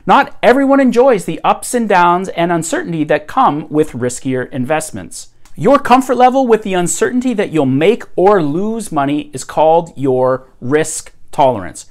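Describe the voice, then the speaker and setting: Upbeat man explaining to camera. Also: high voice. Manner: upbeat, energetic, emphatic. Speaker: host. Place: home room with bookshelves.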